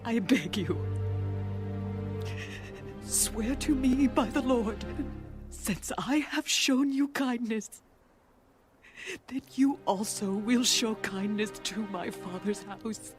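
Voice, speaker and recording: Slow, pleading high-voiced devout woman. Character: pleading ancient woman, fearful yet devout, bargaining for family. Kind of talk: dramatized Bible audio reading. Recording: background music under the voice.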